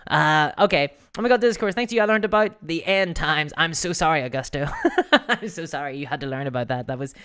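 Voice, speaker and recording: A livestream host with a hoarse voice, chatting casually and self-deprecatingly about tabletop games and answering viewers. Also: mid-range voice, a little fast, fairly bright tone. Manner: giggly, laughs often while talking.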